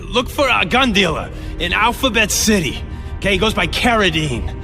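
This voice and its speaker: An actor playing a nervous, wheedling gangster who stammers and fawns in a video game cutscene. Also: male voice, high voice, sing-song, moderate pace, sharp tone, heavily acted. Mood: anxious, bargaining. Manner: stammering, fawning, ends phrases with huh. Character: cornered, wheedling male gun-running gangster, nervous and pleading. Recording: music behind the voice. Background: game music.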